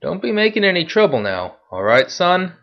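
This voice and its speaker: A man voicing a gruff, taunting male city guard who talks loud and swaggering. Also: high-pitched, sing-song, normal speed, pitched above the performer's own voice, exaggerated voice. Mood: mocking. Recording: dull lo-fi recording.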